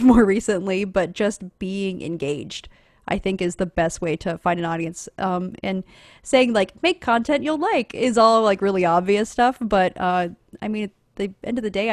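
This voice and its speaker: A woman's voice: medium pitch, no room sound, studio quality. A woman podcaster answering casually on a panel in an informal, self-deprecating manner.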